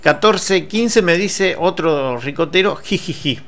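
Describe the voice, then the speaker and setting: A man's voice: fairly high pitch, expressive, normal speed, a crowd in the background. A man with an Argentine accent chatting casually as a radio co-host, in a joking, teasing manner. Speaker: radio co-host reading listeners' messages. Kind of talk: casual chat.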